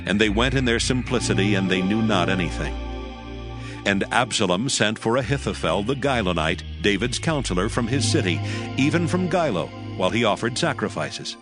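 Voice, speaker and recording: Solemn low-voiced male narrator. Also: lively intonation, moderate pace, over music. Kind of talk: solemn scripted scripture narration.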